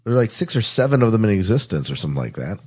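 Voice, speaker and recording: Low, husky-voiced man hosting casually. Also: big pitch swings, darkish tone. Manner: jovial, quick to laugh. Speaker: podcast host. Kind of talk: casual chat.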